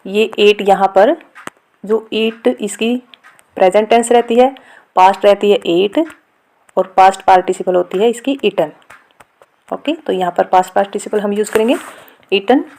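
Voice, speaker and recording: A woman with an Indian accent explaining a lesson step by step as a teacher, reading out examples slowly and deliberately. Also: mid-range voice, darkish tone. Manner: instructional, reads example sentences slowly and deliberately. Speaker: grammar teacher. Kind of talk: step-by-step lesson explanation mixing Hindi and English.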